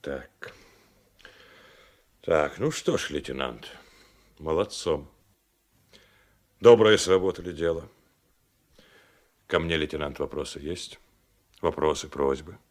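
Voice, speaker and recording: An admiral in a radio drama. His male voice is extremely deep. He is gruffly kind and paternal, giving informal commands and repeating his words. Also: takes it slowly, pauses often, exaggerated voice. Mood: approving, coaxing. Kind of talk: radio play acting.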